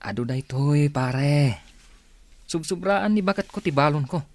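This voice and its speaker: A man's voice: fairly high pitch, expressive, measured pace, no room sound. A man acting a frank, generous co-worker with a Filipino accent, talking chummily in a radio drama. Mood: frank, urging. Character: friendly, blunt male co-worker, generous buddy.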